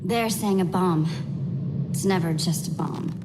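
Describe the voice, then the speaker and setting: Woman playing a grim, low-voiced Templar soldier. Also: measured pace, in a large hall, very noisy, close to an everyday voice. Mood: resolute. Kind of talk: scripted video game dialogue.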